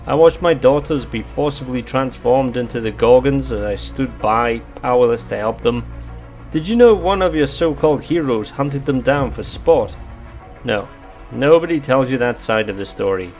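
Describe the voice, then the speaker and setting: An actor in an audio drama playing an ancient, weary, haughty sea goddess disguised as a gentleman scientist, with pompous, old-fashioned formal diction. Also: medium pitch, animated, moderate pace, faint music behind, lightly acted. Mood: bitter, grieving.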